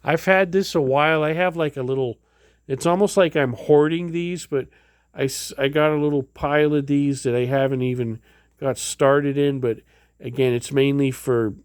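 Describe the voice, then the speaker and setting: A man's voice: mid-range voice, fairly even intonation, moderate pace, clear, resonant tone, dry recording, clean studio sound. A man casually showing items in a home vlog, rambling with many fillers and restarts. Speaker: vlogger. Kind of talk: casual show-and-tell vlog.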